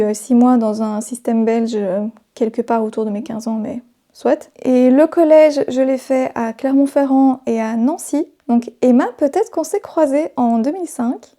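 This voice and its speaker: A woman's voice: high-pitched, dark tone. A woman in her thirties, a sewing vlogger chatting casually to her viewers with frequent hesitations. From Belgium, raised in Brazil. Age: 30s. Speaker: sewing YouTuber.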